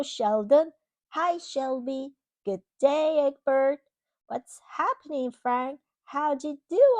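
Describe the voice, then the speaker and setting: A woman's voice: high-pitched, a little mellow, no room sound, highs cut off, pitched above the performer's own voice, heavily acted. A woman voicing an earnest, well-meaning egg character from a children's picture book, in an emphatic, childlike manner.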